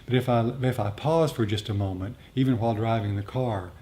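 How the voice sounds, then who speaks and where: A man teaching meditation in a talk with questions and answers, speaking in a halting, reflective, explanatory way. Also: warm tone. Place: group meditation gathering. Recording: rumbly background noise.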